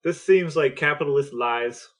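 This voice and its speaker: A man's voice: on the high side, normal speed, a bit bright. A man with an American accent co-hosting a podcast in casual, conversational discussion. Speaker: podcast co-host.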